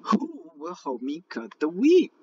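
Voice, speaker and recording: A man's voice: high-pitched, wildly swooping pitch, slow, dark tone, lo-fi sound, voice pushed up, exaggerated voice. A man voicing a self-reliant female hen from a storybook, in an emphatic raised voice. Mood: asking.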